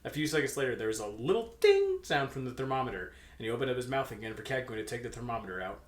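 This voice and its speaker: A man's voice: medium pitch, very animated, very fast. A young man reading fan fiction aloud as narrator, with casual joking asides. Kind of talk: comic storybook-style narration with casual banter. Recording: echoey room.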